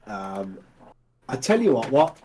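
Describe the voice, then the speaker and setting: A man narrating as dungeon master in a casual, hesitant way, with many pauses and fillers. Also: medium pitch, very animated, noisy background. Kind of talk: narrating a tabletop game.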